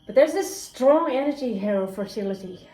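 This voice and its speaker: A woman's voice: a little high, expressive, a little fast, mellow. A woman giving a casual tarot reading, candid and chatty, swearing freely and dropping into whispered asides. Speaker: tarot reader. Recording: roomy echo, faint crickets.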